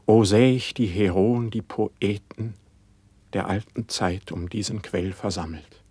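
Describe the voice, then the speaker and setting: Slow, husky, dreamy poet. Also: male voice, lowish voice, a little mellow, no echo. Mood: rapt, yearning. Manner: lofty, reverent, declamatory. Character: dreamy young male poet, rapt and humble. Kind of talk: classical verse radio-play acting.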